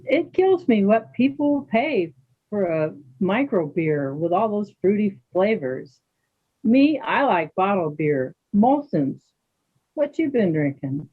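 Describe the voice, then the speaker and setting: A woman playing a calm, dignified older yoga teacher in readers theater, chatting and gently probing with questions. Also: medium pitch, slow pace, husky, exaggerated voice. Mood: chatty, probing. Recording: thin phone-like band-limited sound.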